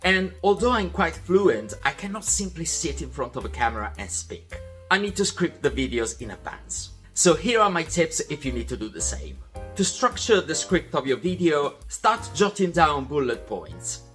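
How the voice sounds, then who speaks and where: Man, young adult, animated, friendly and methodical, scripted vlog voiceover. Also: a little high, moderate pace, soft background music. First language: Italian. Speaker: vlog host.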